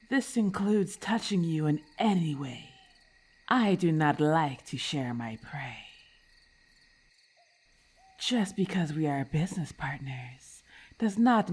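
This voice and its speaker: A woman voicing a haughty, predatory snake-monster lamia in an ASMR roleplay, imperious and disdainful, with deliberate formal phrasing. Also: deep voice, a little mellow, husky, full character voice. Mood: scornful, possessive.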